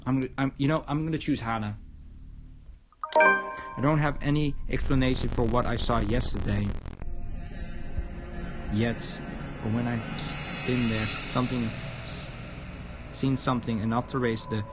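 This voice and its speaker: A man's voice: mid-range voice, steady tone, measured pace, long pauses, rough recording. A man on a game livestream, reading visual novel text aloud and commenting, stumbling over words as he goes. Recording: loud music under the voice. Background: loud background music.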